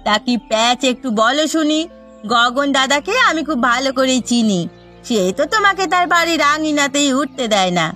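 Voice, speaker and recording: A woman's voice: high voice, lively intonation, normal speed, sharp tone, soft background music. A woman voicing a nagging middle-aged village wife in a cartoon, sarcastic and scolding. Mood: mocking. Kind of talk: cartoon dialogue.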